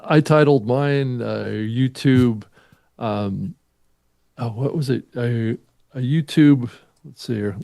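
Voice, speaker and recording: A man's voice: mid-range voice, takes it slowly, gravelly, warm tone, dry recording, clean studio sound. A man talking casually as the co-host of a podcast. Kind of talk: casual chat.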